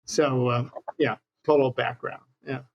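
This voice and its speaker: A man's voice: mid-range voice, level tone, unhurried, creaky, highs cut off, a little hiss or hum. An older man from Hawaii with an American accent, casually reminiscing as an interview guest. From Hawaii, United States.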